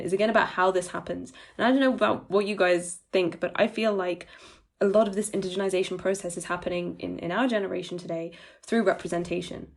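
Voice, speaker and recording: Woman cohosting a podcast, kinda low voice with lots of vocal fry, thoughtful and measured. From United Kingdom. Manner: thoughtful, measured, often hedges with "you know". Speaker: podcast co-host. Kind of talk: podcast discussion.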